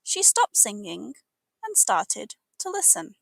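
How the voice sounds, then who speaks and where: A British woman reading a storybook aloud as an English teacher, with expressive, deliberate narration for learners. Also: mid-range voice, vocal fry, no echo.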